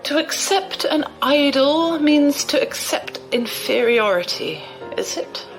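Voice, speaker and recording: A woman playing a shrewd, imperious old noblewoman in an audio drama, measured, condescending and amused. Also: high voice, sing-song, music in the background, exaggerated voice, rough recording. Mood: cool, intrigued.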